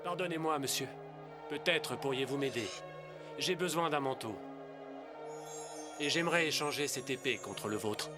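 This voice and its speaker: A man's voice: mid-range voice, normal speed, pauses often, music in the background, close to an everyday voice. A young man playing a kind, polite, curious prince in a French cartoon dub.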